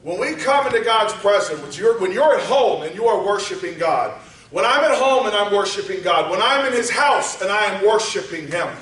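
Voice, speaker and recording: A man preaching a sermon, moving from quiet teaching up to shouted exhortation. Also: high voice, expressive, fairly quick. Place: church sanctuary. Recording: echoey church hall, voice from far off.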